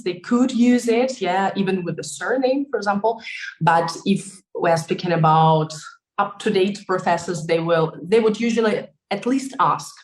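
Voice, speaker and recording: A woman's voice: mid-range voice, darkish tone, rough recording. A woman in her thirties from Belarus with a Belarusian accent and Russian as her first language, telling her story as an interview guest, full of fillers and laughs. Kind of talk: conversational interview.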